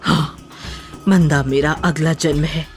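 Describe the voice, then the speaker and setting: A woman voicing a vengeful, mocking female ghost in a horror story, with eerie drawn-out calls and cackles. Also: low voice, steady tone, soft background music, voice pushed down. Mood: cold, bitter. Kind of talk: horror audio story acting.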